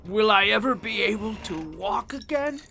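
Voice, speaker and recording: A woman playing a slow, earnest, hapless male turtle patient with a plaintive manner in a comedic audio drama. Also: medium pitch, expressive, creaky, sharp tone. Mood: plaintive, worried.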